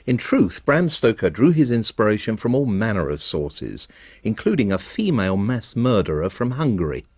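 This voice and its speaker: A man's voice: on the low side, sing-song, normal speed, darkish tone, husky, dry recording. A man presenting a radio arts documentary in scripted narration, with dry, wry understatement. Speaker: programme presenter.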